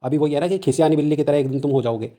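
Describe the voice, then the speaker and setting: A man's voice: fairly high pitch, racing, warm tone. A young man with a North Indian accent talks informally to viewers, explaining in an insistent, colloquial way and repeating his points for emphasis. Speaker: exam-news channel host and candidate activist.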